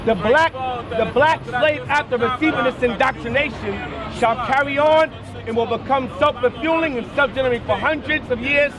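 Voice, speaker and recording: Male street reader, high pitch, emphatic reading, crowded street. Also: moderate pace. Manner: reads aloud, stressing key words. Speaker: street preacher. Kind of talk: reading a text aloud with commentary. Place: outdoors on a busy street. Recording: outdoor street recording with crowd noise.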